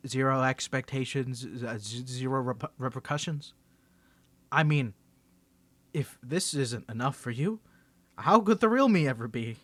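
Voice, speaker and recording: A man's voice: mid-range voice, lively intonation, a little slow, fairly bright tone, no room sound. Guy doing a teasing superhero hiding his identity, asmr roleplay, stammery, voice jumps all over, super dry sound. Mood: guarded, wistful. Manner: stammering, teasing, swings from confident to nervous. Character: cocky young male superhero, flustered, hiding his secret identity.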